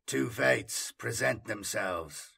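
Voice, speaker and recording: A man voicing a slow, stern space marine captain. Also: on the low side, animated, vocal fry, pushed and bright, breathy edge, full character voice. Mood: cold, threatening. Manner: slow, deliberate, commanding. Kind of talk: dramatic audiobook character reading.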